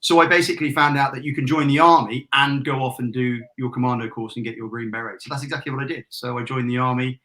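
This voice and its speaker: A man's voice: medium pitch, fairly even intonation, fast, few pauses, pushed and bright. A man in his forties with a British accent and a faint Irish tinge, chatting as an interview guest about his life. He talks in a casual, self-deprecating way, laughs often and restarts his sentences. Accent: British, with a trace of Irish. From England. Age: 40s. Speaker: guest, retired army major and business founder.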